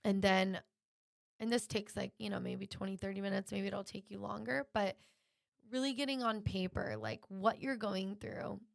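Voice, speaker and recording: Woman podcaster with creaky voice, dry sound. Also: medium pitch, fairly even intonation, normal speed, no room sound. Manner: encouraging, emphatic repetition. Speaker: podcast host. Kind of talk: motivational self-help podcast monologue.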